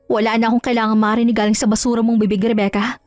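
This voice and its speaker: A woman's voice: a little high, level tone, racing, darkish tone, dry recording, soft background music, lightly acted. A woman voicing a proud, betrayed wife in an audio drama, taunting, sarcastic and coldly vengeful. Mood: cold contempt. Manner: taunting, sarcastic, mixes in English.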